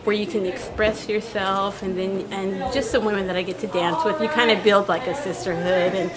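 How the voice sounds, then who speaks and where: A woman's voice: medium pitch, fairly even intonation, normal speed, a loud crowd. A woman dance student giving an earnest, upbeat testimonial who often repeats herself. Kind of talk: enthusiastic testimonial. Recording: loud music behind.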